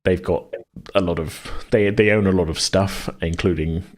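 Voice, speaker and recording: A man co-hosting a casual worldbuilding chat, hesitant and rambling as he thinks out loud. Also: sits low, fairly even intonation, normal speed. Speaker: podcast co-host.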